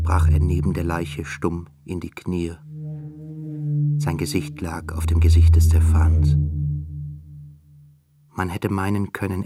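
Low male narrator, grave measured reading, clear music behind. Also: a little mellow. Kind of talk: scripted narration.

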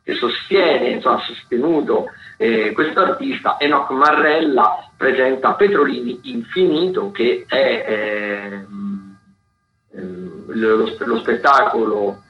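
A man with a mid-pitched voice talks through a listings rundown on the radio. He speaks haltingly, hunting for words and correcting himself as he goes. The sound is roomy and slightly dull. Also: soft background music. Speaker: theatre critic presenting a weekly events agenda. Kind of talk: loose spoken radio events rundown.

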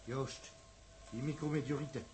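A man's voice: medium pitch, fairly even intonation, moderate pace, darkish tone, lightly acted. A man acting an uneasy, watchful spaceship crewman in a 1960s radio drama. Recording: noisy old 1960s radio recording.

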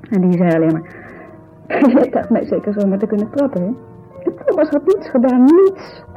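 A woman's voice: on the high side, lightly acted. An actress playing a distraught, indignant woman in a 1970s Dutch radio drama, speaking with agitated, bitter emphasis. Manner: agitated, emphatic, bitter storytelling with tag questions. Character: distraught, indignant woman telling of her injured friend. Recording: muffled 1970s radio recording.

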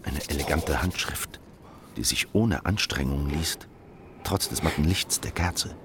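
An actor playing a haunted revolutionary in a historical radio drama, narrating in a grave, terse, introspective way. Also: male voice, a bass voice, unhurried. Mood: brooding, bitter. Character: brooding, haunted male revolutionary in hiding, 16th-century Germany. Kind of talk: literary first-person radio drama narration. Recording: clear ambient noise behind.

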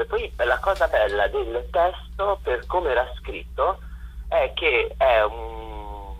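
A man's voice: sits low, breathy edge, lo-fi sound. A man giving a conversational interview as a theatre director, reflective and often searching for his words.

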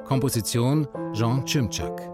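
Slow, measured male radio announcer. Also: mid-range voice. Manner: measured, deliberate. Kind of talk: formal scripted radio play credits. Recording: loud music under the voice.

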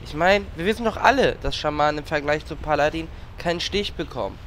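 A woman's voice: deep voice, expressive, brisk. A performer voicing a boastful shaman adventurer in a parody audio drama, with faint background noise. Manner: boastful, showy.

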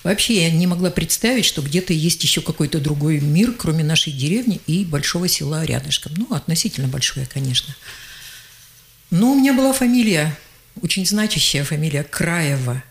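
An older woman, a journalist and geologist, telling lively anecdotes as a guest on a radio interview and acting out the voices she quotes. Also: low-pitched, brisk, vocal fry.

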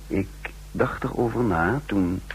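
An actor playing a composed intelligence agent who recounts and plays out his mission in a radio drama, speaking in a measured, formal way. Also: on the low side. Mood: measured, pensive. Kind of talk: radio drama narration and dialogue. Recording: noisy old radio drama recording.

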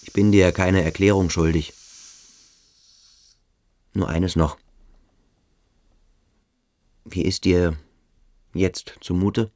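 Very deep, cold, brooding schoolboy. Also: male voice, fairly even intonation, slow pace, pauses often, darkish tone, no echo. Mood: cold, dismissive. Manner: detached, dismissive, probing. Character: cold, aloof, brooding male boarding-school pupil. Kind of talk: radio drama acting. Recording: music behind the voice.

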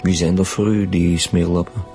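A man's voice: deep voice, fairly even intonation, moderate pace, warm tone, faint music behind. A man playing a stern, probing Flemish police detective in a naturalistic radio drama, speaking formally. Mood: probing, measured.

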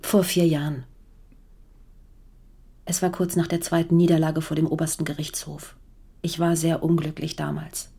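A worn but defiant wife in a radio crime play. Her voice sits fairly low. She talks frankly, with reproach toward her jealous husband. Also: flat delivery, normal speed, vocal fry, long pauses, dark tone, husky, full character voice. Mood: confessing, subdued. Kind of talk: German radio crime drama acting.

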